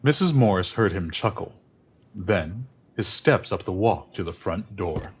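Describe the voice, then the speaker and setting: A man's voice: sits low, lively intonation, measured pace. A man reading scripted narration for a radio play. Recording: dull, noisy audio with clicks.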